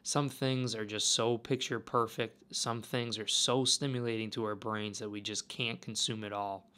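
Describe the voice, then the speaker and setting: A man narrating a travel vlog in a calm, wistful, musing way. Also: lowish voice, normal speed, smooth. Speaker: travel vlogger. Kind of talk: reflective voice-over narration.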